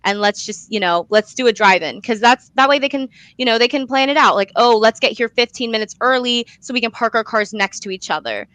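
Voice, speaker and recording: A young woman talking casually as an interview guest, chatty and expressive, often saying "like" and "you know". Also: fairly high pitch, brisk, few pauses, dry recording. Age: young adult. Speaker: guest, young playwright and director.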